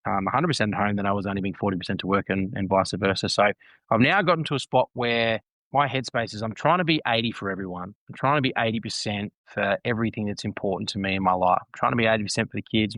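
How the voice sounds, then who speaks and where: A man's voice: lowish voice, a bit bright, no echo, poor audio. A man in his thirties, a guest on a casual podcast, talking earnestly and reflectively and often repeating phrases. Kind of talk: casual conversation.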